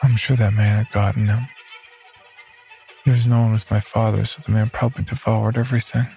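A man plays a broken, haunted young man telling his horror story as a last warning. His voice is even and gravelly, somber and full of dread. Clear music plays underneath. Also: fairly low pitch, fairly quick. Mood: bleak, resigned. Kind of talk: first-person horror story narration.

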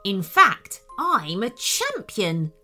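A woman's voice: mid-range voice, huge pitch swings, drawn-out words, pushed and bright, faint music behind, heavily acted. A woman voicing a proud, chatty male dog who boasts of being a hide and seek champion. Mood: boastful. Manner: boastful, hesitant fillers.

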